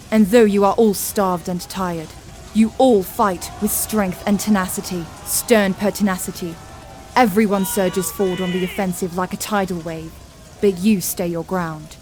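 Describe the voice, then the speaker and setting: A woman narrates a grim fantasy audio play with vivid, serious delivery. Her pitch sits in a middle range, with soft music running underneath.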